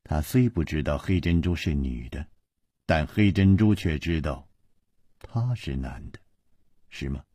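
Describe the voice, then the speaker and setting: Wuxia storybook princess read by a man: pouting, cool, needling, husky delivery with lively swoops. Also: extremely low pitch, measured pace, pauses often, dry recording, clean studio sound, exaggerated voice. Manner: cool, sneering, pointed. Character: sardonic, pouting young princess, cool and teasing. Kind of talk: Chinese wuxia storybook character voice.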